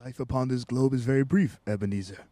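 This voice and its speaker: A man's voice: mid-range voice, fast talker, mellow, close to an everyday voice. A man playing a solemn, foreboding male ghost in a radio play, with a grave, declamatory delivery. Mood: solemn, urgent.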